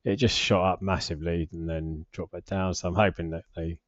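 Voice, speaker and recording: Low-voiced British man, rambling casually. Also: steady tone, a little fast, no echo, dull audio. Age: forties. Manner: rambling, hesitant, self-deprecating. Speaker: personal finance vlogger. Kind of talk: casual unscripted screen-share commentary.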